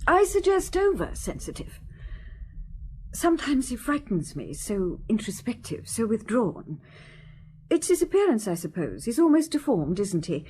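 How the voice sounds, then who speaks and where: An actress playing a haughty, anxious middle-aged wealthy wife in a radio detective drama, imperious and insinuating, with deliberate dramatic pauses. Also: female voice, a little high, huge pitch swings, a little mellow, husky, exaggerated voice.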